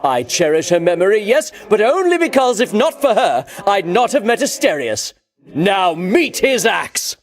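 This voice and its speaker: Casting: a boastful ancient Greek champion king in a game, high ringing voice, theatrical pomp, wildly swooping intonation. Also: very animated, moderate pace. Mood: indignant. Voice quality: ringing, declamatory. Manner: pompous, archaic grandiose diction. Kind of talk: theatrical video game voice acting.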